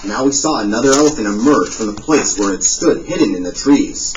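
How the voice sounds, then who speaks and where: Man reading a story with lively pitch. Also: fairly quick, slight background noise. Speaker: audiobook narrator. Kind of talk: scripted narration. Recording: dull, distant audio played in a classroom.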